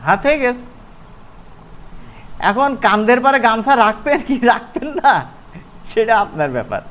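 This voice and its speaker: A man gives a casual, chatty software tutorial in an informal, colloquial way, often checking in with his listeners. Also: extremely high pitch, big pitch swings, normal speed. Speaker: animation course instructor. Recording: clear background noise.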